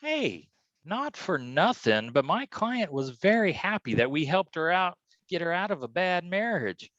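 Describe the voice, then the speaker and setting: Male actor as hardboiled detective, roomy muffled livestream, lively pitch, slangy, wisecracking, stubborn, theatrical. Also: a little slow, exaggerated voice. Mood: cocky, teasing. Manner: slangy, folksy tough-guy talk peppered with "huh?". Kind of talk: hardboiled detective stage acting.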